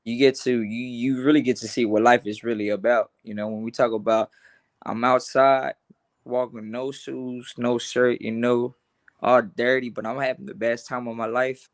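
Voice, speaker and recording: A man's voice: medium pitch, level tone, normal speed. Earnest young man, tough casual talk. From Los Angeles and Honduras. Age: young adult. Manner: earnest, repeats words when starting, tough-talking. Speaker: boxer, interview guest. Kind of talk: casual interview chat.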